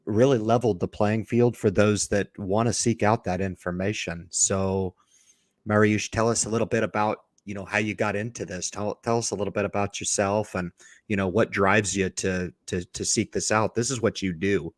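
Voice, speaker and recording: Low-voiced host guy, dry sound, friendly questions, stumbles and repeats words. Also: steady tone, moderate pace, no echo. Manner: friendly prompting with repeated restarts. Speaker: host, interviewer. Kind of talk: casual interview.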